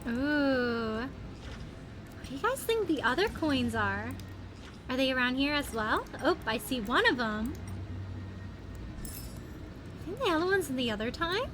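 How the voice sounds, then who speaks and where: A woman giving casual livestream commentary on a video game in an animated manner. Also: high-pitched, measured pace, long pauses, noisy background. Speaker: livestream host. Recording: game music under the voice. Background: video game music.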